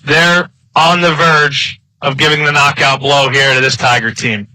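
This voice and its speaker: A man's voice: a little high, flat delivery, unhurried, sharp tone, dry recording, low-quality recording. A man with an American accent giving color commentary and analysis during a live American football broadcast.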